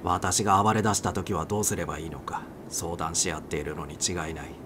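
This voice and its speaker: A man's voice: sits low, steady tone, brisk, lightly acted. A man voicing a panicked, bitter, angry male airline passenger in a story reading. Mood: bitter, brooding. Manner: snappish, agitated, brooding. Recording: clear background noise.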